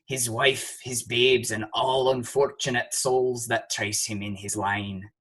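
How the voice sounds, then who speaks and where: Man playing a slow, husky, grim tyrant. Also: mid-range voice, level tone, a bit bright. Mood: menacing. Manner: declamatory, deliberate. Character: grim, murderous stage tyrant king. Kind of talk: stage-style Shakespearean acting. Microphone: video call recording.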